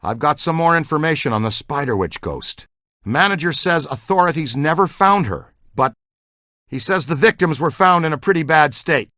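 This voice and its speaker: Video game ghost hunter: earnest and excitable, bright animated delivery, rattles off technical jargon. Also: male voice, a little high, normal speed, no echo, clean studio sound. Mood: concerned, focused. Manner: earnest, technical jargon, urgent call-outs. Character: eager, talkative ghost-hunting man, earnest and excitable. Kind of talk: video game character dialogue.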